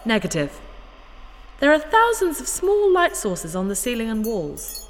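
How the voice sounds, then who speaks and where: A woman's voice: fairly high pitch, huge pitch swings, measured pace, exaggerated voice. A woman playing a small, curious, childlike robot in a sci-fi audio drama, speaking in formal literal phrases and calling itself by name. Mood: polite, literal. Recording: reverberant cave effect with faint noise.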